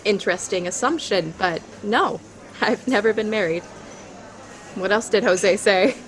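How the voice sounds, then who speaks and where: A woman's voice: medium pitch, a little slow, close to an everyday voice, poor audio. A woman acting in an audio drama as a flirtatious professional on a coffee date, self-assured and coy. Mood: flirtatious, curious.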